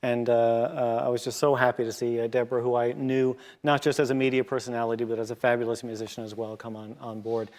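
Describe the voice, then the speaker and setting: Halting man in a reverberant church. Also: medium pitch, flat delivery, a little mellow. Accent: Newfoundland English. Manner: halting, many filler pauses. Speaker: church music leader introducing singers. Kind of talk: hymn program introductions. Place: church sanctuary.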